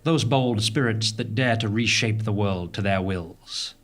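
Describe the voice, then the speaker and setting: An ominous, grandiose narrator in a lowish voice. He delivers scripted drama solemnly and deliberately, with music clearly playing behind him. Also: fairly bright tone. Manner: portentous, deliberate.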